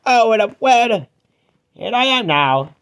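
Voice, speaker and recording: A man voicing a touchy, hurt male steam engine in a fan-made cartoon dub, shaky and prone to yelling. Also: big pitch swings, slow pace, clear, resonant tone, exaggerated voice. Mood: nervous. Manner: shaky, high-strung, bursts into yelling.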